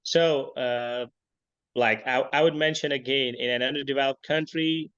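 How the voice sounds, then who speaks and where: A man in his thirties from Pakistan, a tech consultant answering interview questions over a video call in a steady way, often saying "you know". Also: medium pitch, moderate pace, smooth, highs cut off. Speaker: guest, tech and business consultant. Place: village in northern Pakistan.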